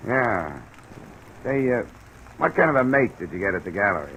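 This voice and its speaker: A man's voice: on the low side, sing-song, moderate pace, fairly bright tone. An American man playing a laconic, sardonic insurance investigator in an old-time radio drama. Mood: dry, wry. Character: dry, wry male freelance insurance investigator. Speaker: radio drama lead actor. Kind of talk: old-time American radio detective acting, narration and dialogue. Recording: old radio recording, faint crackly hiss.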